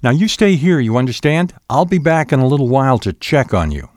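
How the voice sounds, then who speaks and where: A stern, wary man from a storybook, played by a male reader. He instructs firmly and suspiciously, with a lively rise and fall in a dry, close sound. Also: medium pitch, a little fast, no echo, voice pushed up, close to an everyday voice. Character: stern, wary man giving orders to his demon.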